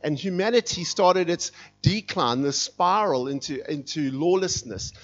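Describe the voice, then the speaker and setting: Middle-aged guy preaching, gets pretty fired up, bit high voice, muffled lo-fi sound. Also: a little slow. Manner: energetic, fired up, reads scripture with rising intensity. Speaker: guest preacher. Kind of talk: sermon. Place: church sanctuary. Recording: dull, muffled low-fidelity sound.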